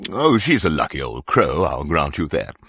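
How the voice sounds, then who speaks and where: Blustering British aristocrat, game character, plummy upper-class accent, flirtatious, hugely animated intonation, dry close sound. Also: male voice, extremely low pitch, moderate pace, husky, dry recording, exaggerated voice. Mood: lecherous bluster. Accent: upper-class British English. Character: pompous, lecherous aristocratic English baron, jovial big-game hunter. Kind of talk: scripted video game voice acting.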